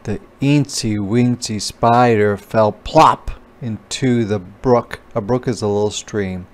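A man reading a children's storybook aloud as a teacher, pausing to explain words. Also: lowish voice.

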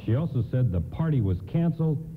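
Man playing lively, folksy 1950s doorman. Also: mid-range voice, fast talker, close to an everyday voice. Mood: chatty. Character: easygoing department-store doorman, 1950s war veteran, Santa's pal. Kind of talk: radio play acting. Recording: muffled, lo-fi AM radio broadcast, hissy.

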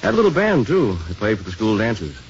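Easygoing, low-voiced kindly priest. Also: male voice, huge pitch swings, brisk. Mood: warm, reflective. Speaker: actor in a radio drama. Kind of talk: 1950s radio acting. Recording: muffled, lightly noisy 1950s radio broadcast.

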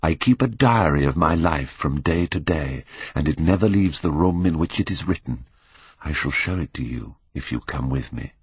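A man voicing a proud young Victorian gentleman in an audiobook, hard, contemptuous and bitterly mocking. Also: very deep voice, lively intonation, normal speed, slightly rough, dry recording, full character voice. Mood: quiet, controlled.

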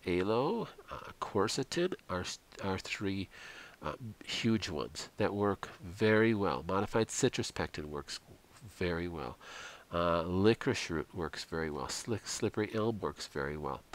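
Deep-voiced man giving a health lecture. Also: rough recording. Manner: earnest and instructive, frequent fillers. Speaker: chiropractor presenting a health program. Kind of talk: slideshow health lecture voice-over.

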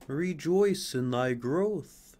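Kindly sunbeams, put on by a male reader, speak in a slow, solemn, blessing tone. The voice is lifted and drawn out. It is a storybook reading. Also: fairly high pitch, lively intonation, dark tone, voice pushed up, full character voice. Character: gentle chorus of sunbeams, kindly.

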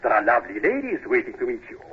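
An actor playing a suave, courtly Russian minister with a hesitant, unctuous, diplomatic manner in a 1950s radio drama. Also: male voice, mid-range voice, huge pitch swings, very fast, slightly rough, exaggerated voice. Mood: gracious. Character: suave, courtly Russian government minister, middle-aged or older. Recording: dull, lo-fi old radio recording.

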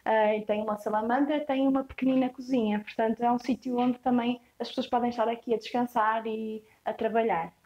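A young woman with a European Portuguese accent gives a casual walkthrough tour of a rustic stone farmhouse. She describes each space in a relaxed way, with frequent hesitations. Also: a little high, fairly quick, few pauses, dull audio. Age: young adult. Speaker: property tour guide.

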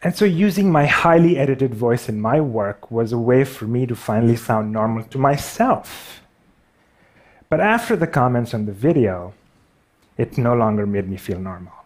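A man's voice: mid-range voice, lively intonation, moderate pace. A man in his thirties speaks with a Pakistani accent in a mid-range voice. He gives a stage talk in a large theater with wry, self-deprecating humor. A headset mic picks him up.

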